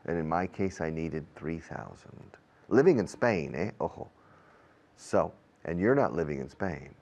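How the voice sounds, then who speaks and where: A man, a native English speaker, teaching in an explanatory monologue with a deliberate, emphatic manner that repeats key words. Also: low-pitched, lively intonation, darkish tone. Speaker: language teacher and programme host.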